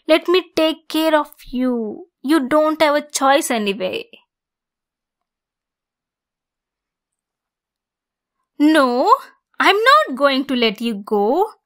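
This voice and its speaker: Yandere centaur played by a woman for ASMR roleplay: female, high voice, unhurried, clingy and domineering. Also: fairly bright tone, full character voice. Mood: insistent, possessive. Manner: slow, deliberate, insistent, clingy.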